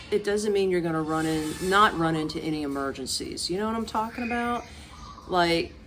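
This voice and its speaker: An American woman vlogging casually, telling stories in an animated, colloquial way with direct asides to the viewer. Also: on the low side, slight background noise. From United States. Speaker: expat vlogger. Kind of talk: casual chat to camera.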